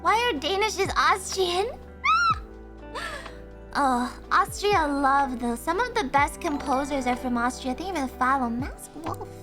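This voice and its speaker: High-voiced chatty woman streamer. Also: a little slow, over music. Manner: chatty, excitable, gasps and laughs often, thinks aloud. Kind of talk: casual livestream chat.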